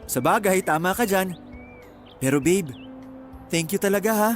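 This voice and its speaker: A man's voice: high voice, lively intonation, normal speed, faint music behind. A man playing a young student boyfriend, sweet-talking and pushy, in a Tagalog radio drama. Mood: sweet, grateful. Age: young adult.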